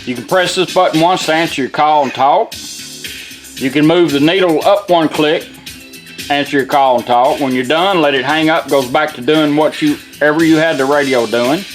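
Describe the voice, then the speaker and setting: Man demoing a radio, higher-pitched voice, music around. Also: moderate pace. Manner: plain-spoken, informal. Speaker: car radio restorer demonstrating product. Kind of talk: casual hands-on product demonstration.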